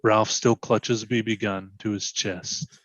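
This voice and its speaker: A man's voice: fairly low pitch, flat delivery, takes it slowly, a bit bright, dry recording. Man narrating stage directions, dry dull sound. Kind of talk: dramatic scripted narration.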